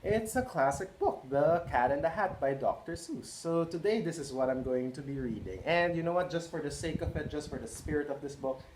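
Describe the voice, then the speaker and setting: A man in his twenties reading a storybook aloud to children, expressive, with chatty questions to his listeners. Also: on the high side, a little fast, few pauses, slight background noise, poor audio. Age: 20s. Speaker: children's read-aloud host and early childhood educator.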